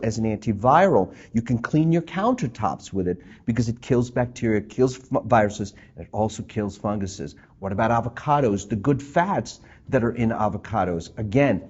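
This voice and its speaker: Lively chatty male doctor. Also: mid-range voice, breathy edge. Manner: lively, chatty, addresses viewers as folks. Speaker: doctor giving health tips. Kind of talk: TV health segment to camera. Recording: dull lo-fi TV audio.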